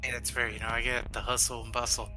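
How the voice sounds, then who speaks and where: A man's voice: mid-range voice, flat delivery, fast talker, sharp tone, soft background music. A man playing a tabletop game, chatting casually in his character's role.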